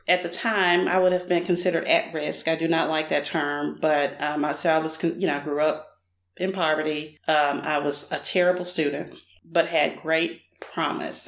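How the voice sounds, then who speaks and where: A middle-aged woman from Nashville, a podcast guest, answering in a candid, casual way with many fillers. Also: low-pitched. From Nashville, Tennessee. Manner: frequent fillers, thoughtful and candid. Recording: roomy echo.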